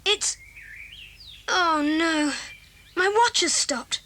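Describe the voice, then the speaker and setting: Woman as an adventurous schoolboy, high and bright, eager, earnest, practical, 1980s children's audio drama. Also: very slow, exaggerated voice. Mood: brisk.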